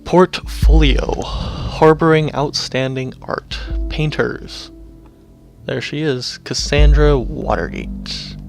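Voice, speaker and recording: A man's voice: drawn-out words, vocal fry, pauses often. Sick man muttering over game music. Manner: low-key, muttering asides while clicking through menus. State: sick, sniffling and clearing his throat. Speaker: let's play gamer. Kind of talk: casual game commentary, reading on-screen text aloud.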